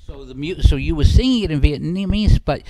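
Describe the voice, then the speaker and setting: A man's voice: fairly high pitch, animated, brisk, warm tone, no echo, rough recording. A man in his fifties hosting an interview, friendly and often restarting his questions. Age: 50s. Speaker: interviewer and host. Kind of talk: conversational interview.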